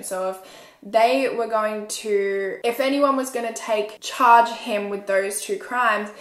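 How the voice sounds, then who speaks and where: A woman's voice: medium pitch, fairly bright tone. A woman in her twenties with an Australian accent, telling a true crime story conversationally as a video host. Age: 20s. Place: small room at home, indoors. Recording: roomy indoor echo.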